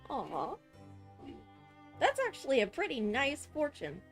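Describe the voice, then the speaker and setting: A woman's voice: high voice, wildly swooping pitch, takes it slowly, faint music behind. A woman giving casual, reactive gameplay commentary, thinking aloud as she plays. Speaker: game streamer.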